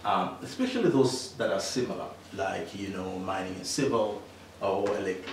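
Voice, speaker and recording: A middle-aged man from Tanzania speaks in a lowish voice, pausing often on fillers and restarting his sentences. He sits some way from the microphone in a very echoey room. A faint hiss runs under his voice. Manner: hesitant, many fillers and restarts. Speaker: interview guest, mining engineer. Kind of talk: casual interview answers. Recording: echoey room, distant mic, faint noise.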